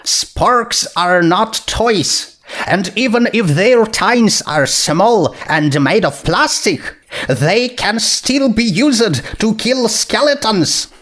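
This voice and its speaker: Man voicing an earnest, high-pitched pony princess. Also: lively intonation, takes it slowly, a bit bright, exaggerated voice. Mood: earnest, solemn. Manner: earnest, formal speechmaking. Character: solemn, earnest young female pony princess confessing in court. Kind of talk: dramatized storybook reading.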